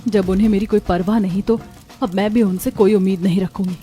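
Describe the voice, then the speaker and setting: A woman's voice: mid-range voice, fairly even intonation, brisk, warm tone, soft background music, lightly acted. A woman voicing a meek, wounded young bride in a Hindi cartoon story, plaintive and polite. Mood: hurt, resentful.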